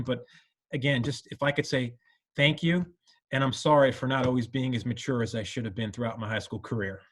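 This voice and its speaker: Earnest middle-aged man reminiscing. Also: mid-range voice. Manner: earnest, rambling with many "you know" fillers. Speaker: alumnus panelist. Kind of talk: reflective conversational talk.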